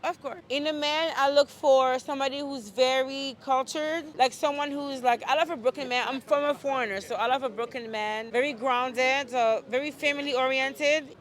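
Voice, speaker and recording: Young woman, from France, high voice, outspoken, emphatic, street interview guest. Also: steady tone, normal speed, few pauses. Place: outdoors on a city street.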